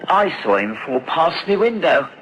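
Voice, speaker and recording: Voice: mid-range voice, big pitch swings, normal speed, a bit bright, dull audio. An actor playing a crazed, embittered old recluse from a derelict house, rambling eerily in broken phrases in a horror drama. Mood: eerie, brooding. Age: older. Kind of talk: dramatised horror story acting.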